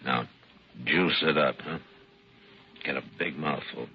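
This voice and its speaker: A man playing a stern, steady middle-aged western lawman in an old radio drama, terse and commanding, speaking deliberately. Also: a bass voice. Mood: calm, careful. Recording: dull, noisy vintage radio recording.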